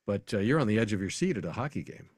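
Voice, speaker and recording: A man's voice: fairly low pitch, big pitch swings, racing, dry recording, studio quality. An American man speaking as an announcer guest in a casual sports interview, telling anecdotes in a conversational way.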